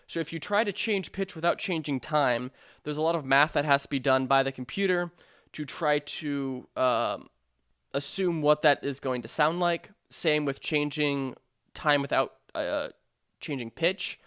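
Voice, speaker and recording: A man narrating a software tutorial in an informal, explanatory way with hesitant phrasing. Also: on the high side, unhurried, clean tone, no echo, clean studio sound. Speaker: sound design tutorial presenter.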